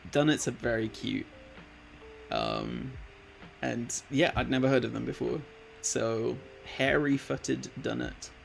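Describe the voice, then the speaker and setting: Gravelly, slow-talking man in his late twenties. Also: mid-range voice, slight background noise, poor audio. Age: late 20s. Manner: rambling, filler-heavy, easily delighted. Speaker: art streamer. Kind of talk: casual live-stream chat.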